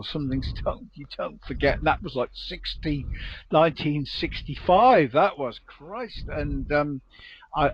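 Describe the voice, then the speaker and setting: A man's voice: a little high, dull audio, slight background noise. A man in his seventies, a guest and retired detective, telling stories in a rambling way with many restarts. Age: 70s. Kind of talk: casual chat.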